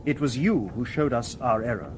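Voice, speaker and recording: An actor in a radio drama playing a polite, logical humanoid robot detective who speaks in formal, precise phrases. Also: male voice, mid-range voice, expressive, noisy background, natural voice. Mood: calm, explanatory. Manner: formal, precise, measured wording.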